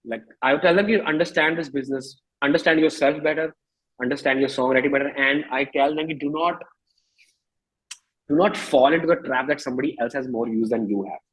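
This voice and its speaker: A man's voice: mid-range voice, a little fast, fairly bright tone, slightly rough, low-quality recording. A man in his thirties with an Indian accent, a musician speaking casually and emphatically in an interview, repeating phrases for stress. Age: 30s.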